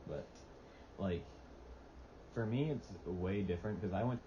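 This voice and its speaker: A teenage boy with a very deep voice talks in loose, rambling sentences. He restarts often and leans on "like." The dull lo-fi recording carries a clear hiss. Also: unhurried. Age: teens. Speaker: podcast co-host. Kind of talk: casual chat. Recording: dull lo-fi mic with steady hiss.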